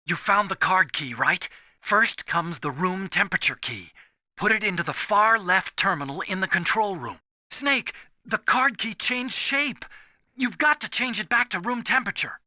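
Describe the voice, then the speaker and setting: An actor playing an earnest, nerdy young American engineer in a video game, explaining things emphatically with anxious sincerity. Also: male voice, sits high, animated, normal speed, bright, forceful tone, dry recording, studio quality, exaggerated voice. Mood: urgent instructing. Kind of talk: dramatic video game voice acting.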